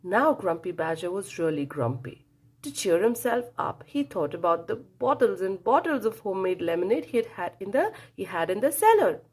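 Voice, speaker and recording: A woman's voice: medium pitch, big pitch swings, normal speed, breathy edge. A woman reading a children's storybook aloud expressively, engaging young listeners with questions and a dramatic telling.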